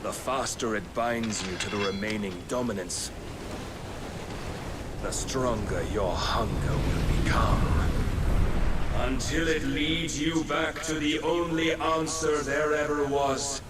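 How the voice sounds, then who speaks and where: An actor playing a zealous, superior servant of a god in a video game, with archaic, measured, sermonizing speech. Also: male voice, medium pitch, heavy background noise, close to an everyday voice. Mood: coldly ominous. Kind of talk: dramatic video game cutscene acting.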